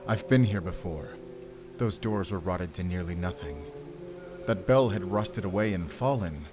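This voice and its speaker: Casting: gruff werewolf hunter, German accent, low and unhurried, grave protector, audio drama over music. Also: male voice. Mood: uneasy awe. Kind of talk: cinematic audio roleplay acting. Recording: music behind the voice.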